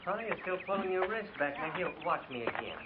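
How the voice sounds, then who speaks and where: An actor with an American accent playing an eager, folksy, apologetic cavalry lieutenant in a 1950s radio drama. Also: male voice, high-pitched, very animated, fast. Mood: patient. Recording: tinny, noisy 1950s radio recording.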